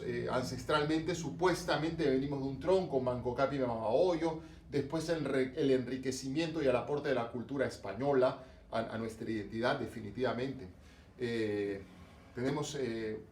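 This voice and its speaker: A man's voice: a little high, reverberant, recorded from a distance, a little hiss or hum. A man from Lima with a Peruvian accent, giving a religion lesson with lots of rhetorical questions and fillers. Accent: Peruvian Spanish, Lima. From Peru, coast (Lima). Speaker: religion teacher. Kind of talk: online class lecture to students.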